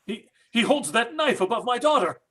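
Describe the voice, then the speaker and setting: A man plays an anguished father in an old-time radio horror drama. His high, husky voice swings widely as he stammers through agitated, guilt-ridden pleas. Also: expressive, moderate pace, fairly bright tone, heavily acted. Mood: frantic. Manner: agitated, stammering, breathy exclamations. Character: desperate, guilt-stricken father searching for his abducted daughter.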